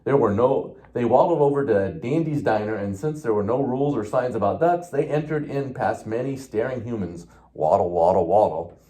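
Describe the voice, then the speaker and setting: A man's voice: medium pitch, normal speed, warm tone. A man, a police officer, reading a children's storybook aloud expressively, with the odd small stumble.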